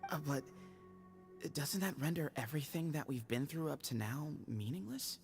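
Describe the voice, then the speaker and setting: A man's voice: a little high, expressive, normal speed, creaky. An actor playing an earnest, modest young male temple heir in a video game, with a conversational delivery. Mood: hesitant, earnest. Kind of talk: scripted video game voice acting. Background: game music.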